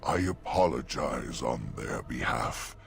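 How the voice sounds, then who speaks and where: An actor playing an ancient, courteous talking oak in a fantasy game, speaking archaic rhymed verse in a grandiose, gravelly voice. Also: male voice, very deep voice, expressive, slow, full character voice. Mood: apologetic, courteous.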